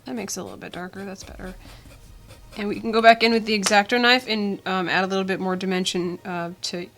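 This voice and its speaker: A woman's voice: medium pitch, fast. A young woman hosting a drawing tutorial, talking casually with many ums, her voice still weak from a cold. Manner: relaxed, chatty, with many ums and uhs. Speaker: art tutorial host. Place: indoors at a drawing desk.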